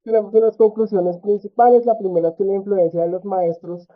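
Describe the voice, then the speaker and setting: A man presenting research at an academic conference, speaking in a measured way with frequent fillers and tag questions. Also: high-pitched, fairly even intonation. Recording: tinny phone-like audio.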